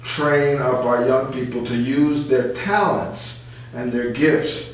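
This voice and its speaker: A man recorded in a heavily reverberant room with faint noise behind him. He talks in an earnest radio discussion, choosing his words slowly and with pauses. He sounds like a community guest explaining his views. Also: mid-range voice, fairly even intonation. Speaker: community board member and guest. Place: echoey indoor room. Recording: very echoey room, distant mic, faint hum.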